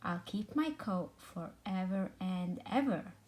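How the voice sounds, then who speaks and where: A woman's voice: lowish voice, expressive, slow pace, background noise. A woman voicing a vain, sneaky male sheep in a storybook, with a self-admiring, sing-song delivery. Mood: vain, delighted. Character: vain, sneaky male sheep proud of his fluffy wool.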